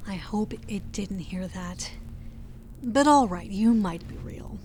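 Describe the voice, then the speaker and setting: A woman playing a wary young woman exploring a dark house in an audio drama, nervous but wry. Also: mid-range voice, expressive, slow pace, a little hiss or hum. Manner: nervous, wry, self-talking.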